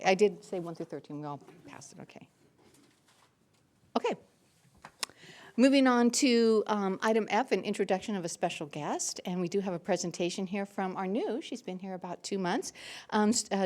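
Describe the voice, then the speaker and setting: A woman's voice: medium pitch, animated, darkish tone. Woman mayor running a council vote. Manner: procedural, brisk motions and votes. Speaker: mayor chairing council meeting. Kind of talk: chairing a council meeting.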